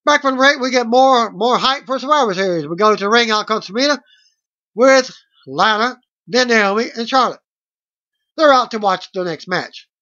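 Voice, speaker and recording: Very high-pitched man reading a recap. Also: normal speed, sharp tone. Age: middle-aged. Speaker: wrestling recap host. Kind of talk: read-out play-by-play results recap. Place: small room at home, indoors. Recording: dull lo-fi webcam audio.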